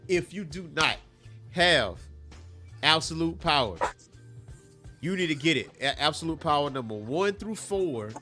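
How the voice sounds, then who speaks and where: Male co-host, bright tone, congested, easygoing rambling talk. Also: mid-range voice. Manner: laid-back, rambling, laughs easily. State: stuffy nose from allergies. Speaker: podcast co-host. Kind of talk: casual chat.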